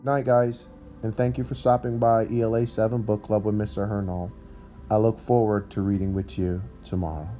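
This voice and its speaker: A man with a low voice reads a story aloud expressively. He sounds set back from the microphone in an echoey space, with guitar music playing behind him. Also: level tone, moderate pace, dark tone, far from the mic. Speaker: teacher reading aloud for a book club. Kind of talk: expressive audiobook-style read-aloud. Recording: roomy echo, off mic, music behind.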